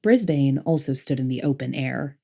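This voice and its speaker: Woman acting haughty lady traveller, imperious, disdainful, mellow tone, lively swooping intonation, sci-fi audiobook drama. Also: a bass voice, normal speed, vocal fry, heavily acted. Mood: curt, lofty. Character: haughty, easily horrified woman passenger of a future world.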